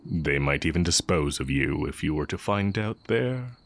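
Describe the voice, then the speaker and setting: Man playing a very deep, sly dark mage. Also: lively intonation, vocal fry, full character voice. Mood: sly, menacing. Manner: unhurried, musing, taunting drawl with drawn-out pauses. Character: sly heretic dark magic teacher, a man, coolly teasing. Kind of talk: intimate audio roleplay acting.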